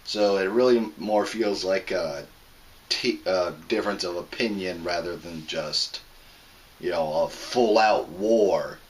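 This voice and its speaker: A man hosting his own review show, hoarse from a sore throat, explaining a plot in a plain, casual way with some restarts. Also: low voice, slow pace, a little hiss or hum. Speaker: host of a movie review show. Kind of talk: casual spoken movie review.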